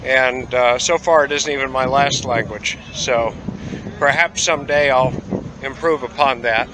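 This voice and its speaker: A man vlogging a travel tour outdoors at a port, talking casually with lots of "uh" pauses. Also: medium pitch, steady tone, background noise. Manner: rambling, many "uh" pauses, easygoing. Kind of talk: casual travel vlog narration.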